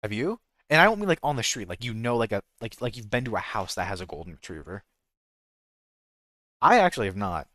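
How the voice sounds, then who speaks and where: A man's voice: on the low side, very animated, fairly quick, sharp tone, no echo, clean studio sound. A man with an American accent chatting casually as a game streamer, rambling and jokey, often correcting himself mid-thought. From United States. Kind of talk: casual livestream chat.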